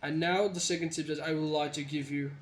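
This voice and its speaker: A man's voice: fairly high pitch, steady tone, a little fast. Earnest young man in an echoey room. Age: young adult. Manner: earnest, rambling with fillers and restarts. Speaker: English-speaking tips presenter. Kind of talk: casual spoken lesson to camera. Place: small indoor room, plain wall. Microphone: phone camera close up. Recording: echoey room with faint ambience.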